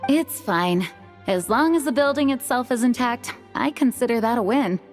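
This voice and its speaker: A woman's voice: on the high side, animated, moderate pace, lightly acted. A woman playing a dutiful, practical knight leader in a video game, composed and businesslike. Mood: calm, practical. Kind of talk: video game cutscene acting. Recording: music behind the voice.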